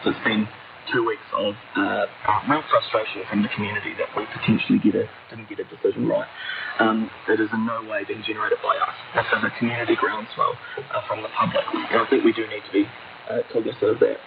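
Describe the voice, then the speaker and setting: A man gives an interview comment as a councillor, low and even in pitch. The shortwave recording is narrow and very noisy. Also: fast, creaky, far from the mic. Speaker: city councillor. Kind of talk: spoken interview clip.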